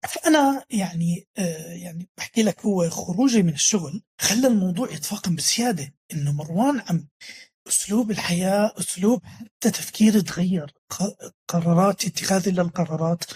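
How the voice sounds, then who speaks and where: A man in his thirties with a Levantine Arabic accent, a guest telling his story in a halting way, often restarting words and mixing in English terms. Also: high-pitched, a little mellow, breathy edge. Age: 30s.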